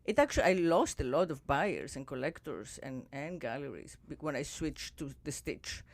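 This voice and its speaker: A woman podcast host in casual conversation, reflective and musing, drifting softer as she thinks aloud. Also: deep voice, big pitch swings, moderate pace.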